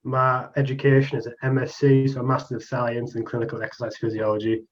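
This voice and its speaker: A man's voice: medium pitch, monotone, fast talker. Young Geordie man, casual researcher presenting online, ums a lot; dull lo-fi call audio. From Newcastle, England. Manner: casual, chatty explaining with frequent ums. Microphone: video call. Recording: muffled video call audio.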